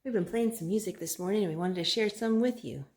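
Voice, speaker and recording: A mother reading a rhyming children's book aloud to her child, gentle and sing-song, pausing to ask him questions. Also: medium pitch. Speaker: mother and storytime host.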